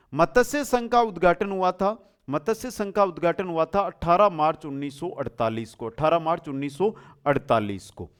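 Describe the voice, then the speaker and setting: A man teaching an exam revision class, reading out questions, addressing students familiarly and repeating answers. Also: a little high. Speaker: online exam-coaching teacher.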